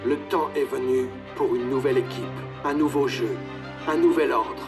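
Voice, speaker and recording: A man's voice: medium pitch, steady tone, normal speed, over music, natural voice. A man voicing an ominous promo announcer in a cartoon, solemn, grand and dramatic, like a film trailer. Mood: ominous, grandiose.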